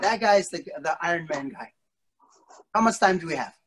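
Middle-aged man, rather high pitch, kids' instructor, playful storytelling, theatrical delivery, drawn-out emphasis, pauses. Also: lively intonation, a bit bright. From an island country. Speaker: martial arts instructor telling stories to children. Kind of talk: playful interactive storytelling for kids. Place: indoor wood-panelled studio, on a video call.

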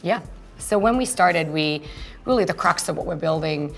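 A woman's voice: fairly low pitch, lively intonation, normal speed, gravelly, faint music behind. A woman in her thirties, originally from Finland, talking through her company as a guest in an interview, fluent and earnest, in an office. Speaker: co-founder and guest. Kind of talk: business interview conversation. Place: open-plan office, indoors.

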